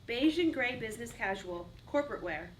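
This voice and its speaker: Fairly high-voiced woman narrator, distant. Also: lively intonation, brisk. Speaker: narrator reading stage directions. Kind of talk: scripted narration. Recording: distant mic, clearly noisy room.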